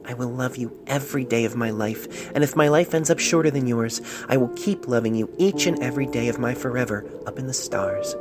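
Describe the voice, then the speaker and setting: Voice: medium pitch, fairly quick, few pauses, music in the background, close to an everyday voice. An amateur narrator voicing a loving, sentimental pony reading out a love letter earnestly and tenderly, recorded on a phone.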